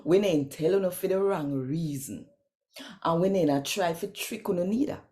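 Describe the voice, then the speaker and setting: A woman with a Jamaican accent reading scripture expressively in Patois, with deliberate, emphatic, storytelling phrasing. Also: fairly low pitch, warm tone. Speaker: scripture reader.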